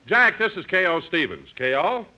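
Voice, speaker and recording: An actor playing a hearty, eager, portly sidekick in a 1950s radio comedy, with a polished announcer's delivery. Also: male voice, high-pitched, sing-song, moderate pace, sharp tone, exaggerated voice, low-quality recording. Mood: affable. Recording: old radio recording, slight noise.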